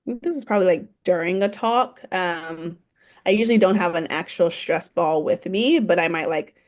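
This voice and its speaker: A woman's voice: on the low side, moderate pace. A woman in a seminar sharing a tip in a casual way, with lots of fillers. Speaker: seminar participant.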